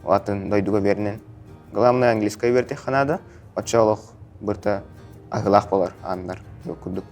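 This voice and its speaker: A man's voice: fairly low pitch, moderate pace, darkish tone. A man talks casually in an interview. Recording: faint music behind the voice. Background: faint background music.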